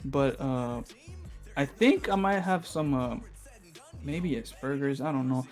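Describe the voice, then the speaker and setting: A man whose first language is Spanish talks in a mid-range voice as a guest on a call, pausing and filling gaps with uh. He laughs easily and sounds a little unsure of himself. Faint music plays under him. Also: medium pitch, a little mellow. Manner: hesitant, many filler sounds, laughs often. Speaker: podcast guest. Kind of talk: casual chat.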